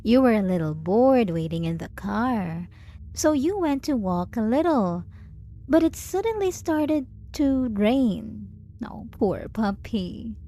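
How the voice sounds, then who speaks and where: A woman playing a jealous, possessive yet doting lover in an intimate ASMR roleplay, teasing and condescending with deliberate pauses. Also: on the high side, sing-song, dark tone, a little hiss or hum, full character voice. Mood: teasing, controlled. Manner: slow, teasing, condescending pet-name cooing with deliberate pauses.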